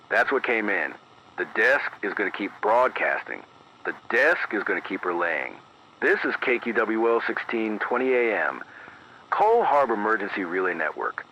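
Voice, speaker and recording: A man playing a grave emergency radio announcer in an analog horror drama, delivering formal, measured, deadpan bulletins through an AM radio filter. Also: lowish voice. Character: grave male emergency radio announcer relaying eerie crisis reports. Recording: muffled lo-fi radio broadcast, clear static noise.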